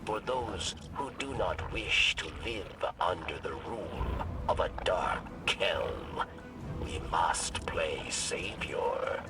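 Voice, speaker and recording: An alien outcast with a very low, crackling, rasping voice. He speaks extremely slowly and haltingly, a weary and conscientious defector in a video game, sounding slightly distant under music. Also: exaggerated voice. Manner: halting, refers to himself in third person. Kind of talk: dramatic video game character acting.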